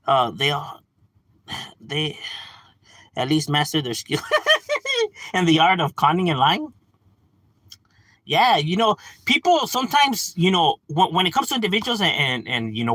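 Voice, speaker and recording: Husky animated man ranting on stream. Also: fairly high pitch, no echo. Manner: animated, argumentative, addresses chat as "bro"; frequent laughs and filler "you know". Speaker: livestream host. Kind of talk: casual livestream rant.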